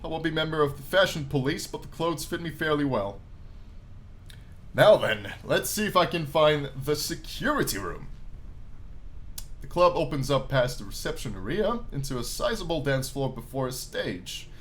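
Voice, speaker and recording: Animated man doing let's play narration. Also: on the high side, moderate pace, slight background noise. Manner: animated, jokey, casual asides. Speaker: gaming YouTuber reading a visual novel aloud. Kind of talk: let's play commentary mixed with dramatic read-aloud narration.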